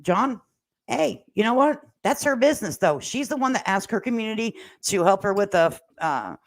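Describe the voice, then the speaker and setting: A woman's voice: medium pitch, animated, normal speed. An older woman chatting casually as a livestream host, with a folksy, sassy, plain-spoken and slangy way of talking. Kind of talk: casual livestream commentary chat, reading viewer comments aloud. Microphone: standalone microphone at a laptop.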